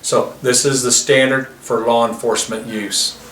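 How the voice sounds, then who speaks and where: A man's voice: mid-range voice, flat delivery, moderate pace, fairly bright tone. A man demonstrating a vehicle intercom system, explaining each feature step by step in a plain way, recorded outdoors beside a car. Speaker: product demonstrator. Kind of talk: product demonstration. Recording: roomy, distant mic with faint noise.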